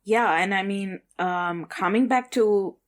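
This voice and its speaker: A woman co-hosting a news podcast, explaining regional affairs in a measured, conversational way with frequent fillers. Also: mid-range voice. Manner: measured, with frequent fillers like "you know" and "kind of". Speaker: podcast co-host.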